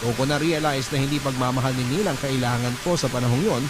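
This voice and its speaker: A man's voice: medium pitch, fast talker, noisy background. A man narrating a scripted love story in a dramatic storytelling style. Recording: loud music bed under the voice. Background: loud music.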